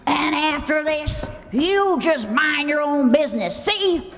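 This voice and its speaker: A feisty, lovestruck country landlady as a woman acts her out in an old-time radio comedy. Her high voice carries a rural Southern accent and takes its time, folksy through swooning and scolding alike. It rings with the long reverb of a hall. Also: lively intonation, slow, a bit bright, slight background noise, full character voice.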